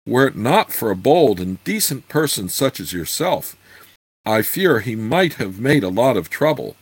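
A man's voice: medium pitch, sing-song, measured pace, husky. Man putting on a meek young country girl. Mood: grateful. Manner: deferential, confiding.

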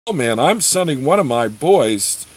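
A man's voice: mid-range voice, sing-song, a little slow, husky. A man voicing a persuasive, chummy rogue who leads a band, in a storybook reading. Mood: eager, persuasive. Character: smooth-talking, persuasive male rogue leader of beggar band.

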